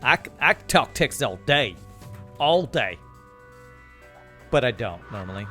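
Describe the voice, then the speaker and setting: A young man from California with a plain American accent, chatting easily with viewers while he plays. His voice sits in an ordinary middle pitch. Faint music plays quietly behind him. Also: measured pace. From California, USA. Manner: relaxed, chatty, reads chat aloud and answers. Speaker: streamer. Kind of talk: casual gameplay commentary.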